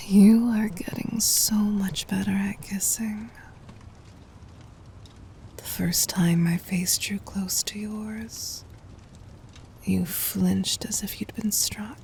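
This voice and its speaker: A woman playing a tender, possessive demon queen in an intimate ASMR roleplay, speaking in a soft breathy whisper with regal, formal endearments. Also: mid-range voice, slight background noise.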